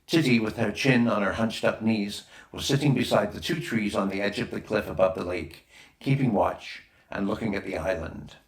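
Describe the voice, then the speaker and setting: A man's voice: lowish voice, moderate pace. A man reading a children's adventure story aloud as a scripted audiobook narration. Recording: roomy, echoing voice recording.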